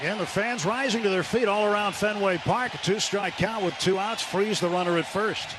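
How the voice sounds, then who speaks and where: A man's voice: sits high, brisk. An American man calling a live baseball game as a radio play-by-play announcer. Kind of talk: live sports commentary. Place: stadium broadcast booth. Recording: noisy ballpark radio broadcast.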